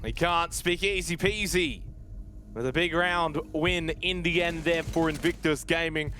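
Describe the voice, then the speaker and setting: A man's voice: on the high side, unhurried, pushed and bright, a little hiss or hum. A man giving colour commentary on a live esports match in a conversational, reactive way.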